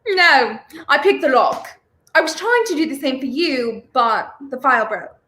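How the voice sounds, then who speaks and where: A woman playing a high-voiced, feisty radio heroine. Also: expressive, normal speed, bright, forceful tone. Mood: bright, coy. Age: young adult. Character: feisty, indignant young woman, handcuffed unwilling companion. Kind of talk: 1930s radio play acting. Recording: roomy, echoing home recording.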